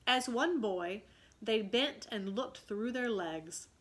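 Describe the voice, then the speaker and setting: A woman teacher reading a children's story aloud with dramatic expression and pausing to ask questions. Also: on the high side, lively intonation, a bit bright.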